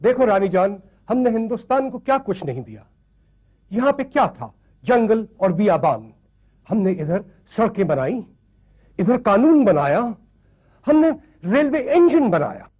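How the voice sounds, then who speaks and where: High-voiced, pompous colonial sahib. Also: male voice, big pitch swings, muffled recording, exaggerated voice. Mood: boastful, displeased. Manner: haughty, slow, declamatory. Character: pompous, imperious, easily offended British colonial sahib. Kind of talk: theatrical screen drama acting.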